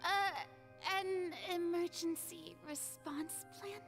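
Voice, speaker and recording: A woman playing a proud, theatrical, defensive goddess in a video game, grandiose but halting. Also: extremely high pitch, steady tone, creaky, a bit bright, exaggerated voice. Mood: flustered. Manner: haughty, grandiose, halting when pressed. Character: proud, theatrical, defensive young-sounding goddess hiding her helplessness. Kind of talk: scripted video game dialogue. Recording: background music under the voice.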